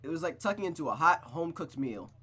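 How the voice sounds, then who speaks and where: A host reading a visual novel aloud, then breaking off into blunt, excited asides. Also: mid-range voice, quick pace, breathy edge. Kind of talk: narration with casual reactions.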